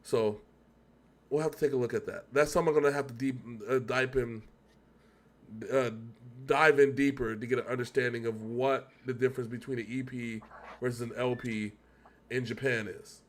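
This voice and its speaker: A man's voice: mid-range voice, steady tone, moderate pace. A man hosting a casual video reaction, speaking in an emphatic, rambling way as he thinks aloud. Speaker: reaction show host.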